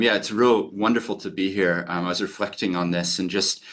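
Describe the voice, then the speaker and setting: Man, American accent, forties, deep voice, enthusiastic, lawyer, video call from home office. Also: a bit bright. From United States. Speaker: lawyer and podcast host. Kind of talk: webinar introduction.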